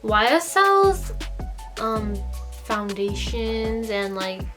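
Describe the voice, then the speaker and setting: A woman's voice: fairly high pitch, very animated, very slow. A young woman with an American accent chats casually and informally, thinking aloud as she answers viewers' questions in a vlog. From United States and Japan. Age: young adult. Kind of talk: casual Q&A chat to camera. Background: background music.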